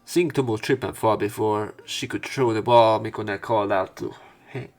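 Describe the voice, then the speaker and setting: A man with a fairly low voice plays through a visual novel. He reads the story text aloud and mixes in casual commentary of his own. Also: fairly even intonation, moderate pace, soft background music. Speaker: let's player.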